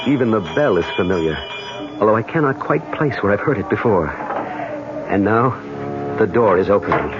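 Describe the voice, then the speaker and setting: Man acting a dreamy narrator, low, expressive voice. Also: noisy background, lightly acted. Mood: entranced. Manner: measured, literary, formal. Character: dreamy, awestruck man narrating his strange visit. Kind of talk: 1970s radio drama narration and acting. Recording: muffled vintage radio broadcast with music.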